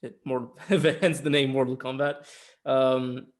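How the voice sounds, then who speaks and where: A man co-hosting a podcast, explaining and discussing a film in a casual, measured conversation. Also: medium pitch, flat delivery. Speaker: podcast co-host. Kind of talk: casual film review chat.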